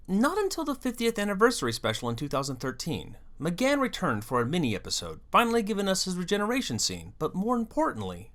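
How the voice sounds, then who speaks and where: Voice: medium pitch, a bit bright. A narrator hosting a scripted fan video essay, explaining things emphatically and theatrically, with wry asides.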